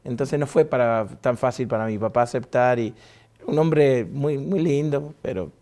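Jovial Argentine pastor in a studio. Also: male voice, mid-range voice, normal speed, mellow. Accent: Argentine Spanish. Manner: jovial, laughs often, chatty with restarts. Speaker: pastor and guest. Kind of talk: relaxed interview storytelling. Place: TV studio set.

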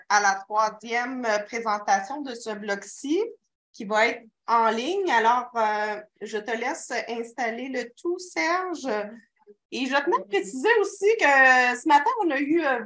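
A woman runs a conference session, announcing the next speaker in quick runs broken by hesitations. Her voice sits notably high for a woman. She sounds brisk and practical. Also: fairly bright tone. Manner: brisk, filler-heavy announcing. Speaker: session moderator. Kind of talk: conference moderation.

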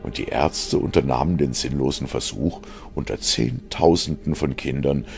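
A man's voice: a bass voice, normal speed, gravelly, a little mellow. A man reading scripted science fiction as a narrator, in a dramatic style. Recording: music behind the narration. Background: music.

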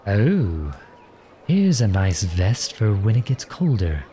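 A man playing a charming, gentle, teasing outlawed prince in an ASMR roleplay, courtly, playful and tender in his way of talking. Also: lowish voice, very animated, slow pace, slight background noise. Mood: warm, attentive. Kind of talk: ASMR roleplay acting addressed to the listener.

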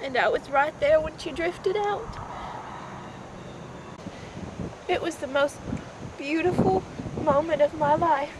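A woman performing an audition monologue as a grieving mother, with halting, emotional, dramatic delivery. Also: high voice, natural voice. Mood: quiet numb grief. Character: grieving Southern mother, bitter then raging over daughter's death. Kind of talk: amateur audition monologue, stage drama acting. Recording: noisy amateur recording, wind-like microphone noise.

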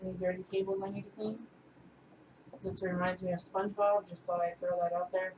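A woman's voice: on the low side, little pitch movement, a little fast, roomy sound, recorded from a distance, background noise. A woman playing a video game with a friend, chatting casually, laughing often and getting flustered. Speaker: gaming video host. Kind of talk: casual gameplay chat.

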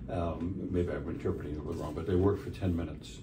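An older man interviewing a musician in casual conversation, relaxed and rambling with fillers. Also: low voice, fast. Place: small room with a framed map on the wall. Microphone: stand mic, filmed by a far camera. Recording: roomy, distant, noisy.

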